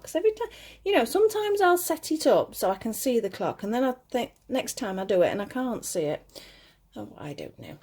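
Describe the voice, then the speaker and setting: A woman giving casual step-by-step craft instruction in a slightly gruff voice, chatty and reassuring, often correcting herself as she goes. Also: warm tone. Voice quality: slightly gruff, lower than her usual voice. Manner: chatty, self-correcting, reassuring toward beginners. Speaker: crochet tutorial host.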